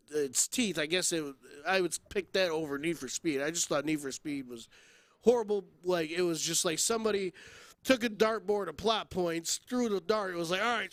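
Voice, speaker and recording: A man's voice: high-pitched, a bit bright, dry recording. A man co-hosting a podcast in casual, opinionated banter, blunt and given to exaggerated comparisons and swearing. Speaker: podcast co-host.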